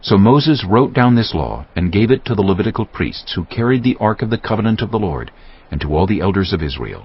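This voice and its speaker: Husky, lively low male narrator. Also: brisk. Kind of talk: scripted Bible narration. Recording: muffled lo-fi audio with faint music.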